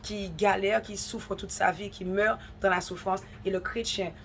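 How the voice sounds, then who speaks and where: A woman's voice: medium pitch, fairly even intonation, unhurried, gravelly. Woman host with a cold, earnest and exhortative, mid-range voice, faint background hum. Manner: earnest, exhortative, repeats for emphasis. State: has a head cold, runny nose. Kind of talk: devotional encouragement talk.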